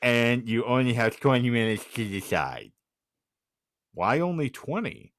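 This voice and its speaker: A man hosting a comedy podcast, reading out scenarios and bantering in a deadpan manner. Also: mid-range voice, a little slow, no room sound, clean studio sound. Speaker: podcast host.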